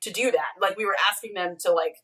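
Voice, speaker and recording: A woman's voice: mid-range voice, quick pace, pushed and bright. A woman chatting casually as an interview guest, a podcast creator on a video call. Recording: slightly distant video call mic.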